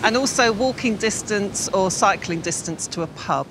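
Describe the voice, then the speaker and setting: A woman's voice: mid-range voice, animated, normal speed, noisy background. Eager middle-aged woman, upbeat. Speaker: house-hunting buyer. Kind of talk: casual chat. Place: outdoors by a beach. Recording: music bed under speech.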